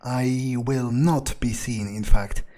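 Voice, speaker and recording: A man's voice: mid-range voice, fairly even intonation, takes it slowly, dark tone. A man thinking aloud in character as a cynical vampire during gameplay, hesitant, disdainful and sweary. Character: cynical, scornful male vampire. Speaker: gamer playing in character.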